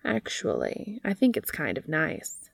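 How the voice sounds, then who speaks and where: Soft, slow, devoted male bee acted by a woman. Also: low-pitched, wildly swooping pitch, gravelly, slightly rough, dry recording, full character voice. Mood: fond, teasing. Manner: soft, tender, deliberate. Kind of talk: storybook character reading.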